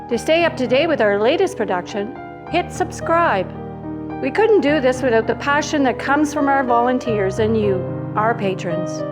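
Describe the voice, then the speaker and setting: A woman reading a scripted promotional outro as an announcer. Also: sits high. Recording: prominent music under the voice. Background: music.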